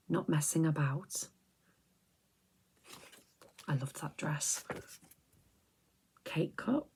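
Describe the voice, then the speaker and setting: A middle-aged woman, chatting softly and rambling on a close mic for an ASMR video, often slipping into whispers. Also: low voice, creaky, breathy edge. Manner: soft-spoken, drifting into whispers, chatty and rambling. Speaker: ASMR creator. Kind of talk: soft-spoken ASMR chat.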